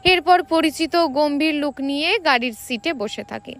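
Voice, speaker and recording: A woman reading a scripted romantic story as an expressive narrator. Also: high voice, normal speed.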